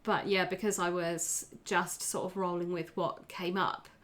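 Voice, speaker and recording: A woman's voice: fairly low pitch, flat delivery, a little slow, a bit bright. A woman chatting casually in a group discussion, hesitant as she pieces her thoughts together. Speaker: tabletop roleplay podcast player.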